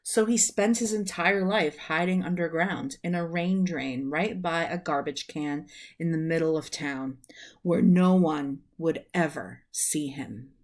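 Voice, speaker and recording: Female storyteller, thirties, lowish voice, very unhurried children's read-aloud, recorded at home on a webcam. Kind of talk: reading a children's book aloud. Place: small room at home, indoors.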